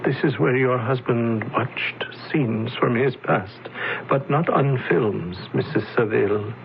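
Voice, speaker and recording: An actor playing a calm, solemn male alien envoy in a radio drama, speaking slowly and formally. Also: mid-range voice, vocal fry, a little hiss or hum. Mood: explaining. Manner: slow, measured, formal. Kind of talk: 1970s radio drama acting. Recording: dull lo-fi old radio broadcast.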